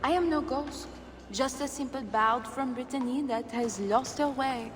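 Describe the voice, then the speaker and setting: High-voiced, sly smuggler. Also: female voice, measured pace. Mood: wry, evasive. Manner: teasing, wry, theatrical. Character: sly, silver-loving female smuggler posing as humble bard. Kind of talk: video game cutscene acting.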